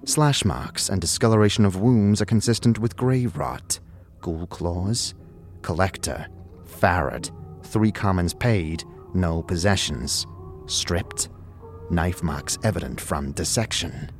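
A man's voice: low voice, slow pace. A man voicing a methodical mortuary record-keeper who reads out entries in a clipped, clinical way for an audio drama. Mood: clinical, detached. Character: dry, methodical mortuary clerk reading ledger entries. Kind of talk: audio drama document reading. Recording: music under the voice.

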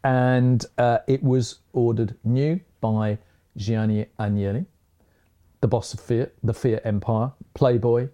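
A mellow, warm-toned man chatting easily as a podcast host. He teases himself, laughs often and keeps the talk light. His voice sits in a middle pitch. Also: fairly even intonation, slow. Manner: jokey, self-mocking, chuckles often. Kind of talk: casual chat.

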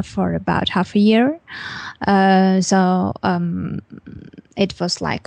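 A young woman from Armenia, whose first language is Armenian, speaking in English as an interviewee, thoughtful with frequent fillers and pauses. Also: mid-range voice, vocal fry, a little mellow, no room sound. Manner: hesitant, many fillers, reflective. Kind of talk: casual conversational interview.

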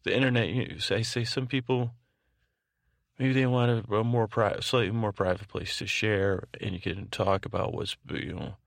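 Gravelly, low-voiced man rambling at bedtime. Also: steady tone, dry recording, clean studio sound. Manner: halting, digressive, trailing off and restarting mid-thought; whimsical, tongue-in-cheek. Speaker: podcast host. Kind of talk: rambling, meandering bedtime monologue meant to lull listeners to sleep.

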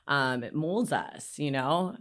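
A woman's voice: low voice, lively intonation, slow, fairly bright tone. A woman hosting a podcast in a studio, upbeat and enthusiastic, laughing easily. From California. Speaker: podcast host. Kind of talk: casual interview chat.

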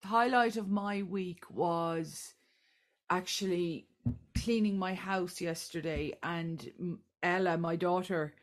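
A woman's voice: lowish voice, fairly even intonation, slow pace. A woman in her late forties from Ireland, a podcast guest chatting casually with her family and laughing often. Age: 40s. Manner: laughing, self-deprecating.

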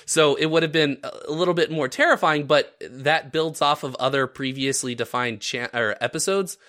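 A man hosting a podcast, explaining things casually and haltingly, with chuckling asides. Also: a little high, bright, forceful tone. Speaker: podcast host leading the episode.

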